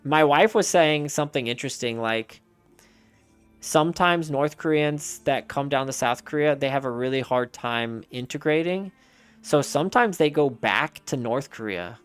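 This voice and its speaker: A man's voice: mid-range voice, normal speed, clear, resonant tone, faint music behind. A man streaming a game and chatting casually with his viewers, answering their messages aloud in a rambling way with frequent restarts.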